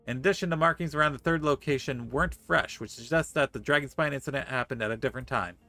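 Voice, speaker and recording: A man's voice: medium pitch, quick pace, bright, forceful tone, soft background music. A man livestreaming a game, reading story dialogue aloud articulately and adding playful comments. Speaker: game streamer.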